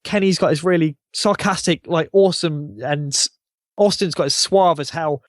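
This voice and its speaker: A man's voice: a little high, moderate pace, no room sound, studio quality. A man talking casually as a podcast guest, rambling informally and with enthusiasm. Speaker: podcast guest, YouTube channel founder. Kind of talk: casual interview chat about a gaming channel.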